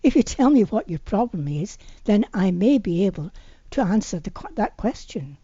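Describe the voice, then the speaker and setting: A woman's voice: mid-range voice, big pitch swings, normal speed, warm tone, slightly rough, no echo, dull audio. A woman reading the lines of a wry, stubborn younger heroine in an amateur audiobook. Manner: wry, firm. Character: adult woman interior designer, guarded, wry, stubborn. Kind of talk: amateur audiobook character dialogue.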